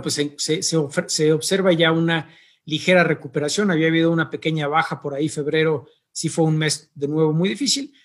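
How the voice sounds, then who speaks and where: A man hosting an interview, talking in an unhurried, hesitant way with many pauses. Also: fairly high pitch. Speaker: podcast host.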